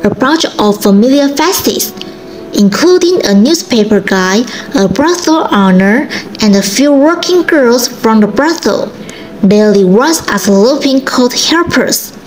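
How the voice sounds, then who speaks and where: A woman's voice: a little high, expressive, measured pace, slight background noise, low-quality recording. A woman narrating an amateur radio drama as a scripted storyteller. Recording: music clearly behind the voice.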